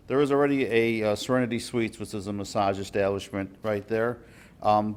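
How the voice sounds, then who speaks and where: A man's voice: lowish voice, darkish tone. A man serving as deputy liquor commissioner, giving a businesslike report to a village board, with fillers and restarts. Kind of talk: formal report at a public meeting. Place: village board meeting room.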